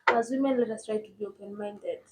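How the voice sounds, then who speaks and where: A woman's voice: mid-range voice, steady tone, fast, noisy background. A woman with a Kenyan accent co-hosting a podcast, teasing and laughing often in casual banter. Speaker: podcast co-host.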